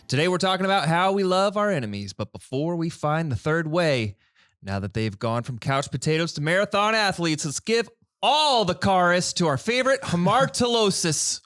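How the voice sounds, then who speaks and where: A man's voice: sits high, sing-song, moderate pace, fairly bright tone, dry recording, clean studio sound. A man in his thirties hosting a casual church podcast, playful and jokey, laughing often. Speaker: podcast host.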